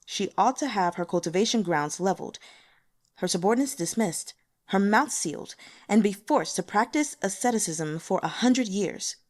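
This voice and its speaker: A woman's voice: mid-range voice, expressive, normal speed, natural voice. Woman reading as a stern, decisive male protector. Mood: stern judgment. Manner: formal, decisive.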